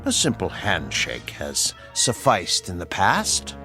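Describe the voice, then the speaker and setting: A mysterious stranger in a radio drama, who speaks in a low voice with slow, deliberate formality. His delivery rises and falls widely. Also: wildly swooping pitch, pushed and bright, soft background music, full character voice. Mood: coaxing. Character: mysterious calm male stranger who judges people.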